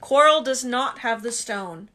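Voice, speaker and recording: A woman voicing a kindly old male wizard headmaster, speaking gently and unhurriedly with dry humor, while reading a storybook aloud. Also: on the high side, expressive, measured pace. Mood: gentle.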